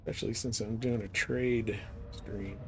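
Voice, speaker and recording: A man's voice: fairly low pitch, steady tone, normal speed, gravelly, noisy background. A man chatting casually to his viewers as he streams a game. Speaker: live streamer. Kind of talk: casual livestream chat while gaming.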